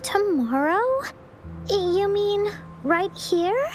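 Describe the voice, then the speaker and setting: A woman voicing a gentle, earnest and slightly hesitant young girl in a video game. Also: very high voice, very animated, extremely slow, faint music behind, heavily acted.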